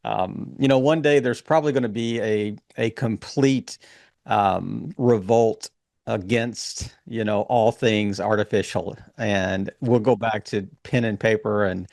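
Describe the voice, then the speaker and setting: A man's voice: on the low side, steady tone, a little slow, vocal fry, darkish tone, no room sound, studio quality. A man hosting a podcast, talking loosely with lots of restarts. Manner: rambling, many fillers and restarts. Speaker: podcast host. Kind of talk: casual interview chat.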